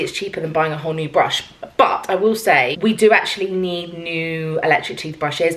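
A young woman chatting to camera in her kitchen about her shopping haul, upbeat and lively. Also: lowish voice. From England. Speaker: vlogger. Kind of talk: casual haul video talk to camera. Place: kitchen at home, indoors.

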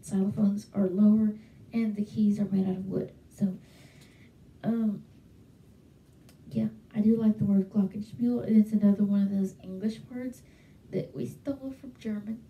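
A woman's voice: medium pitch, fairly even intonation, moderate pace, gravelly, phone-quality sound, a little hiss or hum. Chatty young woman in an echoey bedroom. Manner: chatty, enthusiastic, many fillers. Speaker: vlogger. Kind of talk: casual talk to camera. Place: small bedroom at home. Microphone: handheld mic held close, webcam. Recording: roomy echo, from a distance.

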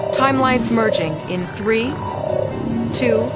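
A woman's voice: a little high, takes it slowly. Formal female lieutenant, music behind. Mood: focused. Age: young adult. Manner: formal, crisp military reporting. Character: earnest, dutiful female army lieutenant. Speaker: military lieutenant. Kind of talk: game cutscene acting.

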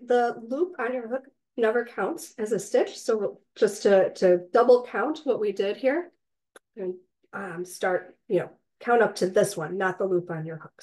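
A woman with a slightly raspy, cold-hoarse voice, patiently teaching a crochet class step by step and counting stitches aloud. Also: fairly high pitch, mellow, low-quality recording. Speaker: crochet instructor. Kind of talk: step-by-step craft tutorial. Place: indoors, online class.

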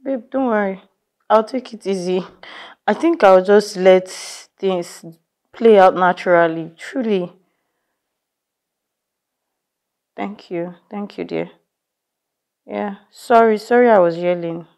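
A woman's voice: medium pitch, lively intonation, darkish tone, lightly acted. An actress with a Nigerian accent playing an overwhelmed young mother who vents, scolds and apologizes in turn. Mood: apologetic. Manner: venting, scolding, quick to apologize. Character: overwhelmed young mother, tired and short-tempered. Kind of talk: scripted skit acting.

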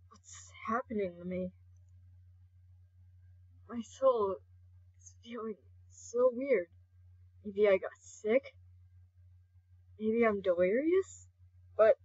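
A woman's voice: fairly high pitch, expressive, slow pace, long pauses, dark tone, husky, dull audio, heavily acted. A woman voicing a brooding, flustered, hot-tempered skeleton young man in an amateur comic dub, hesitant and stammering. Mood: confused, uneasy.

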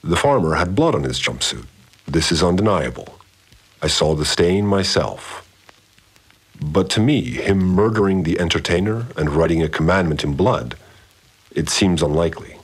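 An actor playing a brooding, methodical investigator in an audio drama, speaking in a measured, deliberate, analytical way. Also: male voice, deep voice, animated, slightly rough. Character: methodical, brooding male investigator puzzling over a murder. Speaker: narrator investigator. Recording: music behind the voice. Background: music.

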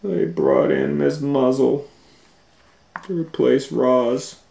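A man reading a children's storybook aloud as host, with drawn-out emphatic phrasing and little asides. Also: medium pitch, very animated, gravelly, dark tone, slight background noise. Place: indoor room, reading a book on a table. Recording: roomy, echoey indoor sound.

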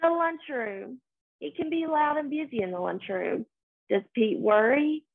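A young adult woman reading a children's picture book aloud in a sing-song, deliberate way, recorded indoors. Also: high-pitched, expressive, gravelly, breathy edge. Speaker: story reader. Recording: phone-like, narrow-band sound.

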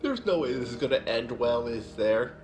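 A young man gives a casual, musing reaction commentary as host. His pitch moves around a lot, and music plays behind him. His th sounds come out a little off. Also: expressive, moderate pace, a little mellow. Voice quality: trouble pronouncing th sounds. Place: small living room, indoors. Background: music and video audio.

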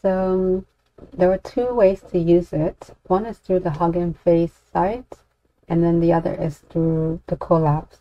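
A woman giving an unscripted software walkthrough as a tutorial presenter, informally thinking aloud with some hesitation. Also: fairly low pitch, steady tone, measured pace. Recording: dull, lo-fi, dry close recording.

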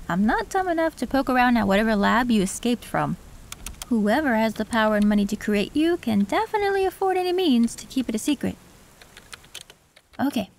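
A woman voicing a female cyberpunk tech who works on an android, calm and matter-of-fact, in an audio roleplay. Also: fairly high pitch.

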